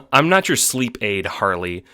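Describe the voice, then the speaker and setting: A man's voice: mid-range voice, big pitch swings, slow pace, music in the background, voice pushed up. A man voicing a mocking, bossy alien troll teenager in an audio drama, with a sneering, exasperated, theatrical delivery.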